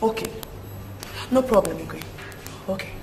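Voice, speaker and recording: An actress with a Nigerian accent playing a haughty, hot-tempered princess in a film melodrama, scolding and imperious. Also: female voice, medium pitch, animated, a little slow, natural voice. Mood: urgent, alarmed. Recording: echoey room, noisy location sound.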